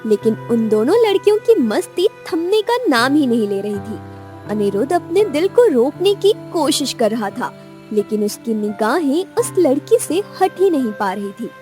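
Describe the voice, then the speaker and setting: A woman narrating a scripted romantic audio story in an expressive storytelling manner. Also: high voice.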